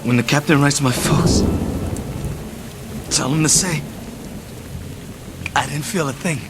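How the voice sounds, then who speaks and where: A man's voice: on the high side, slow, heavy background noise. An actor playing a wounded, fatalistic young soldier in an audio drama, his voice strained with pain. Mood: pained, resigned. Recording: loud music, rain and thunder behind.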